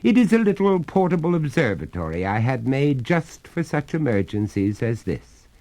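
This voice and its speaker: A man's voice: medium pitch, sing-song, warm tone, voice pushed up. A man plays a young master of a haunted hall in a storybook tale. He is courteous but firm, his voice lifted and theatrically emphatic. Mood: courteous, confident. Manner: polite, brisk, firm, theatrical.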